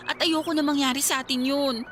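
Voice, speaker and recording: A woman's voice: high voice, fairly even intonation, fairly quick, a bit bright, slight background noise. A woman with a Filipino accent playing an anxious, submissive young girlfriend in a radio drama. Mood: worried, reluctant.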